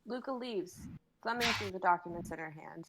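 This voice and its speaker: A woman's voice: fairly low pitch, a little fast. A woman in her twenties reading and chatting casually on a noisy livestream as a performer. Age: 20s. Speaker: performer on a live reading stream. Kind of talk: script reading and casual chat.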